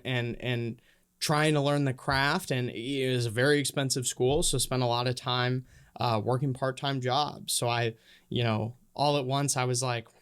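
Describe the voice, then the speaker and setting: Smooth-voiced young man, self-deprecating. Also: mid-range voice. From Minnesota and Honduras. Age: young adult. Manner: self-deprecating, lots of ums and likes. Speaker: podcast co-host and guest. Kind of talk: casual storytelling chat.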